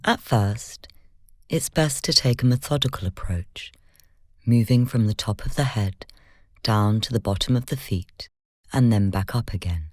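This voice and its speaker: A meditation guide leading a guided session in slow, measured, gentle instruction, soft-spoken with whispery moments. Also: mid-range voice, dry recording, clean studio sound.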